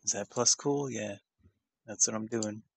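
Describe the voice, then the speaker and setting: Gravelly, low-voiced man at game night. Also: measured pace. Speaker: player in a tabletop roleplaying game. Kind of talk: casual game chat.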